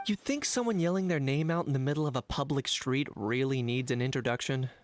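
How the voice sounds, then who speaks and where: A cool young man played by an actor in a video game. He is laconic and dry, delivering everything deadpan at a middling pitch. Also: brisk, lightly acted. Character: cool, laconic young male dragon companion. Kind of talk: video game character acting.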